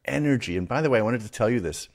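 A middle-aged man hosting an interview, recalling a past film project in a reflective, halting way. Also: mid-range voice, expressive, darkish tone, slight background noise. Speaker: host, filmmaker. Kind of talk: conversational interview.

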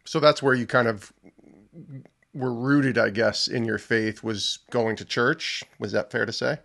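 A middle-aged man hosting a podcast, recording in a home studio with headphones on. He talks at an ordinary, mid-level pitch and keeps things conversational. He often checks in with "right?" and "correct?" as he asks his questions. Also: steady tone, unhurried, gravelly, fairly bright tone. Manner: friendly, prompting questions with "yeah" and tag questions. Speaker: podcast host. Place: indoors, home studio with posters behind. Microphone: headset or desk mic on a video call, headphones on.

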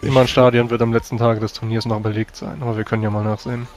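A man's voice: medium pitch, little pitch movement, rapid-fire, faint music behind. A man playing in a tabletop roleplay, speaking in character with formal, old-fashioned phrasing. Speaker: tabletop role-player.

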